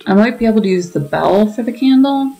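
A woman's voice: mid-range voice, animated, brisk, creaky. A woman giving casual playthrough commentary as a game streamer, chatting and thinking aloud. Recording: game music behind the voice.

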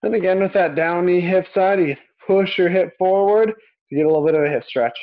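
A man's voice: high voice, brisk. A young man coaching a workout as he moves, giving encouraging, short, repeated instructions. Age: young adult. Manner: physically active while talking. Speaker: fitness coach. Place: small indoor home gym. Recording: dull lo-fi webcam audio.

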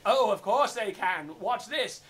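A performer playing an eager, flustered, bumbling storyteller in an interactive children's show, with an excitable, stammering, coaxing manner. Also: medium pitch, unhurried, far from the mic, a little hiss or hum. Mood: eager, delighted. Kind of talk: theatrical children's storytelling with audience participation.

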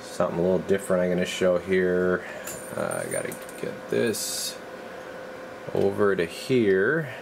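A man in a radio workshop, talking casually and haltingly while he tests equipment. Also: low voice, gravelly, background noise. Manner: relaxed, halting, thinks aloud while working. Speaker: radio repair technician. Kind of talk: casual hands-on demo.

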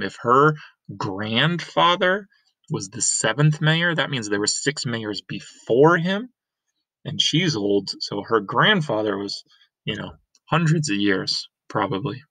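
A man's voice: on the low side, bright, forceful tone, dry recording, low-quality recording. A middle-aged man reading a graphic novel aloud as a teacher, explaining emphatically and repeating key points. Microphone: webcam screen recording.

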